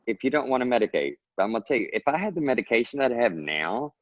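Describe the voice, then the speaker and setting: A low-voiced man with a drawl talks earnestly in a rambling, encouraging way. His voice comes over a dull, lo-fi video call and sounds dry and close. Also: no room sound. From Texas. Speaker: guest author. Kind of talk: casual interview talk.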